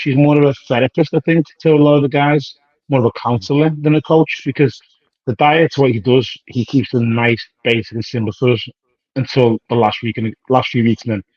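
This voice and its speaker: Slangy British-raised bodybuilder, dry lo-fi. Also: male voice, mid-range voice, no room sound. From United Kingdom. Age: 30s. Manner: rambling, slangy, acts out quoted dialogue. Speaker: professional bodybuilder guest. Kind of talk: casual interview chat.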